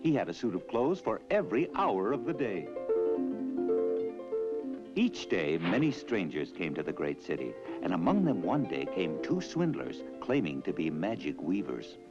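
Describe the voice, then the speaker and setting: A man tells a fairy tale in a theatrical way. His voice is low and swings very widely in pitch. The recording is dull and old, with music playing behind him. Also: big pitch swings, moderate pace. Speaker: storyteller. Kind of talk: scripted fairy-tale narration.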